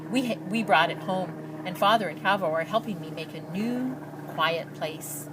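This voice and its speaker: A woman's voice: fairly low pitch, moderate pace, heavy background noise, close to an everyday voice. A woman voicing an earnest, shy, hopeful immigrant girl reading out her letters, with heartfelt, lilting storybook delivery.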